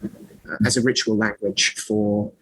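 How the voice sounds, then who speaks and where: Man, scholarly guest, low husky voice, fillers and restarts, wry asides. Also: steady tone, unhurried, a bit bright, poor audio. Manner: rambling, many fillers and restarts, self-deprecating asides. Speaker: author and scholar of magic, podcast guest. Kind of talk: conversational interview.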